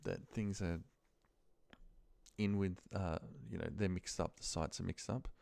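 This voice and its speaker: A man's voice: low-pitched, steady tone, a little slow, gravelly, darkish tone, no room sound. A man giving an informal, unscripted screen-share tutorial, sometimes muttering to himself as he works. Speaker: instructor demonstrating a spreadsheet task.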